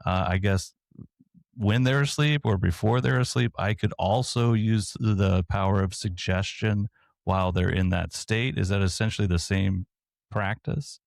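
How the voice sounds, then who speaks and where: A man co-hosting a podcast, speaking in a level, monotone way. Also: fairly low pitch, a little slow, creaky, dry recording, studio quality. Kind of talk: casual interview talk.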